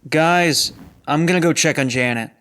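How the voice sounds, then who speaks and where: A man's voice: a little high, moderate pace, a bit bright, natural voice. A man playing a reassuring, apologetic crew member in a science fiction audio drama. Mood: concerned, reassuring.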